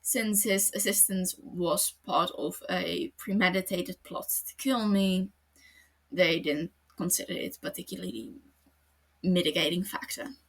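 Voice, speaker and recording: A woman voicing a calm, detached adult male detective, quiet and matter-of-fact. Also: fairly low pitch, a little slow, vocal fry, husky, full character voice, rough recording. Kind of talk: podfic character reading.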